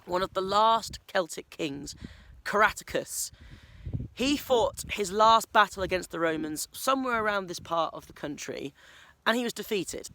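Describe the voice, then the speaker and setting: Male storyteller, higher-pitched, wry and playful travel narration, recorded outdoors with faint background noise. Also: expressive, moderate pace, bright, forceful tone. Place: outdoors on a cycling trip.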